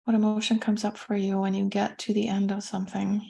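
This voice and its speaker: Woman doing a guided meditation, soft and soothing, real mellow, pretty flat pitch, leaves pauses. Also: vocal fry. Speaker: meditation teacher.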